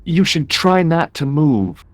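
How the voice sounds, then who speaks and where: An actor playing an earnest, wry young American amateur sleuth in an adventure game, speaking with an American accent. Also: male voice, fairly high pitch, very animated, slow pace, no echo. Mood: calm, inquiring. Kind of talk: adventure game dialogue and narration.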